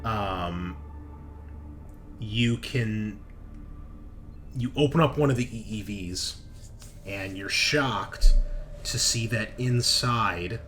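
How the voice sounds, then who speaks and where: A man with a slightly low voice acts as game master for a sci-fi horror tabletop game. He speaks slowly and haltingly, thinking aloud as he improvises the narration. There is faint noise behind him. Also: sharp tone.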